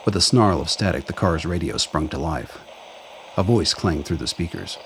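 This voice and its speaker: A man's voice: low-pitched, moderate pace, fairly bright tone, slight background noise. A man reading scripted narration for a radio drama.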